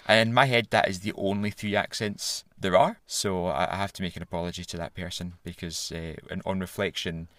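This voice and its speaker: A Glaswegian man hosting a casual conversation, chatty and quick to laugh. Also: deep voice, steady tone, a bit bright, no echo. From Scotland.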